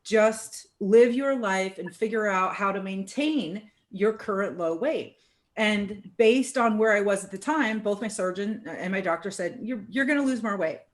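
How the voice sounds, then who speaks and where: A woman's voice: mid-range voice, unhurried. A woman hosting a casual group chat, upbeat and encouraging, often ending thoughts with "right?". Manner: upbeat, encouraging, chatty with many "right?" tags. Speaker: host.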